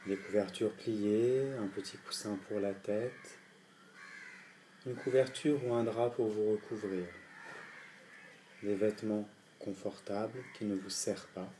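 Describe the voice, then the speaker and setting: Low-voiced man guiding meditation over night insects. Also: slight background noise. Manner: calm, gentle, deliberate instruction with pauses. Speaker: yoga nidra guide. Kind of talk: guided meditation. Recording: night insects and nature sounds behind voice. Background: crickets and insects at night.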